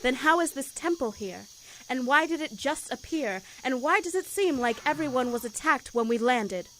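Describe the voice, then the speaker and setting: A woman's voice: high-pitched, animated, moderate pace, a bit bright, slight background noise. A woman playing an earnest, wary, questioning heroine in an audio drama. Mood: uneasy. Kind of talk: fan audio drama acting.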